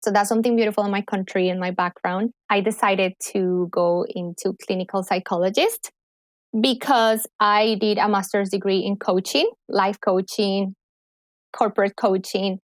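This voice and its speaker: A woman's voice: mid-range voice, normal speed, no echo. A woman from Colombia with a Colombian accent, a guest speaking enthusiastically in a casual podcast conversation. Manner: enthusiastic, animated. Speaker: guest, clinical psychologist and healer.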